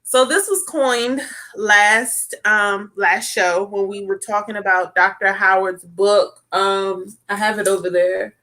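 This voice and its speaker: A woman's voice: mid-range voice, steady tone, slow pace, bright, forceful tone. A woman hosting a talk show in casual, chatty conversation, often adding fillers and tag questions. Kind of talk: casual chat.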